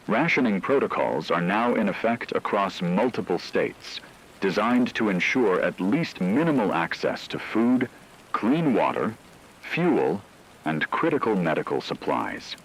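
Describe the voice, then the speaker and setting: A man performs a solemn male news anchor in a dystopian radio drama. His voice is deep and husky, and he delivers scripted crisis bulletins in a measured, grave manner. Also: sing-song, full character voice, rough recording.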